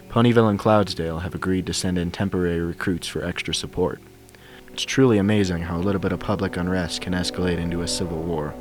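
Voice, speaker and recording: A man reading a scripted fan fiction story as its narrator. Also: deep voice. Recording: soundtrack music behind the voice. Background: game soundtrack music.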